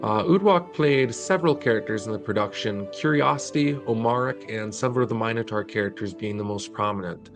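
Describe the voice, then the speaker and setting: A man's voice: fairly low pitch, fairly quick, few pauses, over music. An American man from northern Wisconsin, hosting and interviewing in a casual conversational style. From northern Wisconsin, United States. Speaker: interviewer and channel host. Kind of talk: casual spoken introduction and interview chat.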